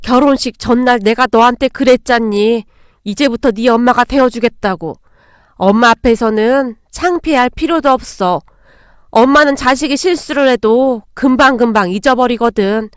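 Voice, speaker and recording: Woman acting older mother-in-law, protective, folksy elder speech, high female register, dry studio sound, storybook drama. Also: moderate pace, clean tone, no echo, close to an everyday voice. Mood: tender, consoling. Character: fierce, protective older mother-in-law, tender with her own.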